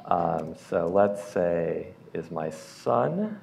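A man's voice: low-pitched, big pitch swings, extremely slow, vocal fry, noisy background. A man giving a casual keynote demo in a lecture hall, with wry asides. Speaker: conference keynote speaker. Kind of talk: live demo presentation.

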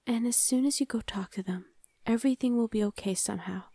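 A woman playing a soft-spoken caring big sister. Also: fairly high pitch, quick pace, slightly rough, no echo, studio quality. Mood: reassuring. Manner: soft, half-whispered, reassuring. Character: gentle caring older sister, young adult woman, student. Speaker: voice actor. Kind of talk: ASMR roleplay.

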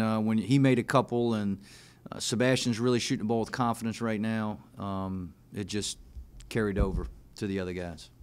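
A man in his thirties, a basketball coach answering questions after a game, speaking in a measured, plain way with frequent fillers into a desk mic in a press room. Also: on the low side. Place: press room in front of a team backdrop. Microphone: desk mic on a stand.

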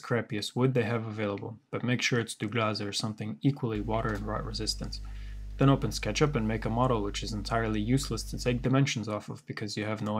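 A man giving a scripted DIY tutorial voice-over in a deadpan, wry and sarcastic manner. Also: fairly low pitch. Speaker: woodworker presenting a DIY tutorial.

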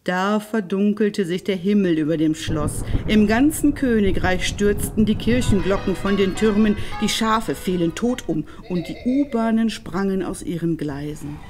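Female story host, mid-range voice, playful and expressive delivery for a young audience. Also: mid-range voice, measured pace, few pauses, faint music behind. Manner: playful, addresses the listener directly.

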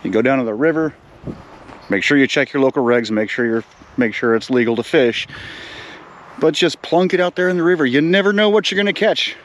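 A man's voice: mid-range voice, a little fast, slight background noise. A man in his forties on a riverbank, chatting casually to viewers and eagerly urging a child to reel in. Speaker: fishing vlogger, father. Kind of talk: casual outdoor vlog chat. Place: outdoors on a riverbank. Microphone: camera on the bank, a few steps away.

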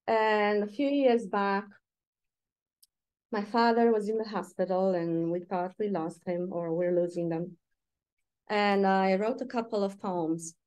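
A middle-aged woman with a Romanian accent reads her poems in an unhurried, deliberate way, with pauses between lines. She adds short asides between poems. It sounds like a video call from a room at home. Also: mid-range voice, darkish tone. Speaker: poet. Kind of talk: poetry reading.

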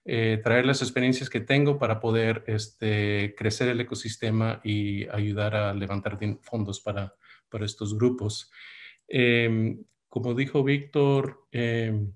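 Hombre de mediana edad, titubeante. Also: male voice, lowish voice, steady tone, a little slow. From United States. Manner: hesitant, many fillers and restarts. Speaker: workshop speaker. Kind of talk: webinar presentation. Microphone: video call.

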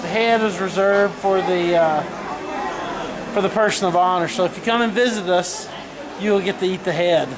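A man in his thirties casually narrating a walking tour of a wet market, explaining with relaxed fillers, recorded on a handheld camera. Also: high voice, moderate pace, rough recording. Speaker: family vlogger giving a market tour. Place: busy outdoor wet market. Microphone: handheld camera mic. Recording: loud crowd and street noise. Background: market crowd, vehicles, animals.

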